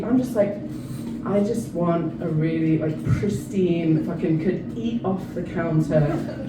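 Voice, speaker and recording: A woman's voice: on the low side, distant mic. A South African-born woman in her thirties doing a stand-up set, chatty and self-mocking, often laughing at her own jokes. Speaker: stand-up comedian. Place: comedy club stage. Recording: echoey room, mic from afar, noisy.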